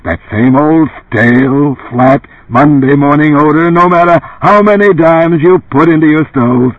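A man voicing a brash older tycoon turned television pitchman in an audiobook, gravelly and emphatic, with a salesman's delivery. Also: a little slow, dry recording, muffled recording, natural voice. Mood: hard-selling. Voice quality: gravelly, dark, resonant. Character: dead older tycoon as booming, blunt TV pitchman.

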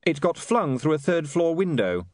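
A calm young man speaks in a distant, dry-witted way, as a reader plays him. His voice sits a bit high and moves in a lively way. The recording is dry and close. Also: normal speed, no room sound.

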